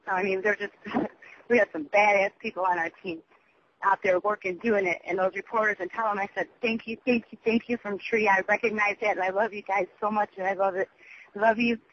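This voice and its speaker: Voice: medium pitch, steady tone, fairly quick, slight background noise. A person leaving a rambling, urgent voicemail over the phone, repetitive, emphatic and prone to swearing. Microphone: telephone. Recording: muffled, dull phone voicemail.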